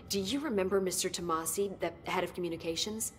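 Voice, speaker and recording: A woman's voice: on the low side, fast, a little hiss or hum, lightly acted. An actress playing an eager, analytical researcher in a video game, precise, wordy and easily absorbed in her subject. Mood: absorbed, curious. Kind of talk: cinematic game dialogue.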